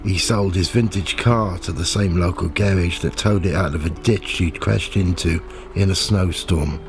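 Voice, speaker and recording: A man's voice: low voice, normal speed, background noise. A man playing a nosy, cynical, pushy reporter in a radio play, with slangy, sardonic streetwise talk. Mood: dry, narrating. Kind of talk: audio drama dialogue and first-person narration.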